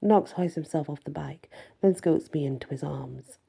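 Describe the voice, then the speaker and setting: A woman reading a first-person fantasy story as soft ASMR audiobook narration. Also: deep voice, moderate pace, creaky, slightly rough. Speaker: story reader.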